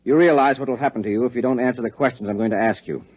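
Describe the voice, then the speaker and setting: An actor playing a secretive, suspicious husband in a 1950s American radio drama, speaking in a curt, controlled, threatening way. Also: male voice, medium pitch, very fast, natural voice. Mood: cold menace. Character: menacing, secretive husband interrogating with cold suspicion. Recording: muffled vintage radio recording.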